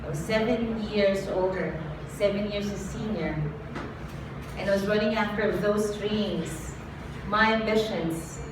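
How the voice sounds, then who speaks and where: A woman's voice: medium pitch, a little slow. A woman performing spoken word poetry live, with an intimate, dramatic delivery built on repeated refrains. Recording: roomy echo, far from mic, ambient noise.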